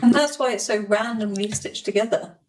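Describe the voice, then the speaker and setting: A woman's voice: mid-range voice, fairly quick, a little mellow, low-quality recording. A woman co-hosting a casual podcast, dropping dry, wry remarks. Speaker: podcast co-host. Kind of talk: casual chat.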